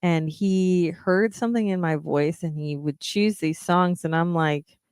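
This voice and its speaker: Podcast host, female, slow encouraging delivery, smooth voice, dry close mic. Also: lowish voice, fairly even intonation, darkish tone, no room sound. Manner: earnest, encouraging, many ums. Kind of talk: reflective solo podcast talk.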